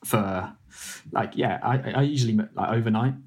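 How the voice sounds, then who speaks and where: A man's voice: lowish voice, normal speed. A young English man, a food editor and former baker, explaining things in a casual, hesitant way with many ums and restarts. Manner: hesitant, lots of ums and restarts, laughs easily. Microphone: video call.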